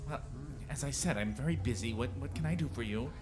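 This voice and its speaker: A woman's voice: extremely low pitch, fast talker, music in the background. A woman playing a curt, pompous male department store floorwalker in an old-time radio drama. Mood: curt, impatient.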